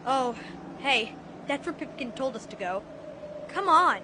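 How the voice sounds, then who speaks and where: A woman playing an eager, excitable young boy in a dramatized audiobook, exclaiming to his gang. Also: high-pitched, a little slow, background noise. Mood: excited. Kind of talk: dramatized audiobook radio play.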